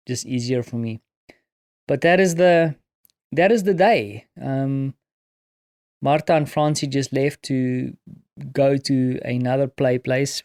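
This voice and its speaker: A tired man with a South African accent and Afrikaans as his first language, talking in a casual, reflective, halting solo audio diary. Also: mid-range voice, creaky, darkish tone, no echo, clean studio sound. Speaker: podcast host recounting his day.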